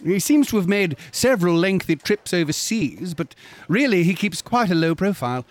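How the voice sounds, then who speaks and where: A man's voice: sits high, lively intonation, moderate pace, no room sound. An actor playing an affable, gossipy British newspaperman in a radio drama, polite and understated.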